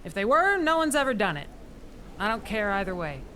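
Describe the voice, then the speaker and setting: A woman playing a gruff, sardonic woodswoman with a dry, dismissive manner in a family audio drama. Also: mid-range voice, big pitch swings, brisk, background noise, close to an everyday voice.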